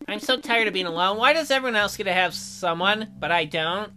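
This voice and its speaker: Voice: medium pitch, a little fast, pushed and bright, faint music behind, natural voice. A performer voicing a needy, self-pitying male bear in a visual novel, whining and wailing melodramatically. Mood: whimpering. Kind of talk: visual novel character reading.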